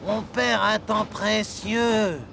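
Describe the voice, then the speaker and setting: A man's voice: high voice, steady tone, drawn-out words, vocal fry, pushed and bright, slight background noise, full character voice. A man voicing a quarrelsome male engine brother in a cartoon, argumentative in tone. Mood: impatient. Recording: cartoon dub with music behind.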